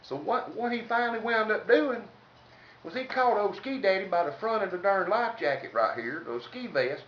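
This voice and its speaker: A man's voice: sits high, expressive, normal speed. Folksy Southern man, roomy distant recording. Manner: folksy, colloquial, salty. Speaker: comedic storyteller. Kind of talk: informal humorous storytelling. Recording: roomy, distant mic, faint noise, dull sound.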